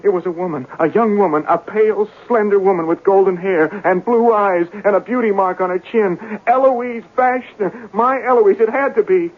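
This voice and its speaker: An obsessed, distraught lover in an old radio drama. His voice is high and rough-edged, pleading with feverish, repetitive intensity. The sound is narrow and muffled, like an old broadcast. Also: normal speed, hardly any pauses. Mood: insistent, anguished. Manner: fervent, repetitive, melodramatic. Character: distraught, obsessed man searching for his lost sweetheart. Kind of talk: 1970s radio drama acting.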